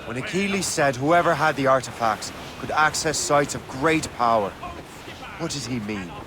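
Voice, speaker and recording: An actor playing a cheeky, roguish young Irish sea captain in a video game cutscene, teasing and breezy. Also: male voice, medium pitch, animated, normal speed, crowd noise, lightly acted. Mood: teasing, curious. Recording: clear sea and ship ambience behind. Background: waves, wind and ship sounds.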